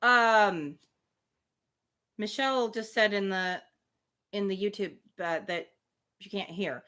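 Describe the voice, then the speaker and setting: A woman reading a classic novel aloud on a livestream, with expressive phrasing and casual spoken asides. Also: medium pitch, slow pace. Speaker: livestream book reader.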